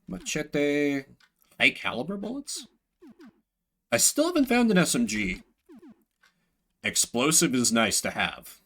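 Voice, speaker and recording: A man streaming a game playthrough, casually commenting and reading game text aloud in an expressive, slangy way. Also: on the high side, takes it slowly, pauses often.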